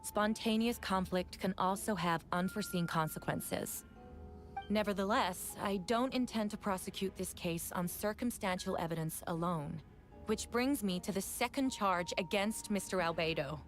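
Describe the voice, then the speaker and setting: A woman's voice: mid-range voice, faint music behind, close to an everyday voice. Stern, composed woman prosecutor played by an actress. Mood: firm, measured. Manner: formal, measured, deliberate. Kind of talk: video game voice acting.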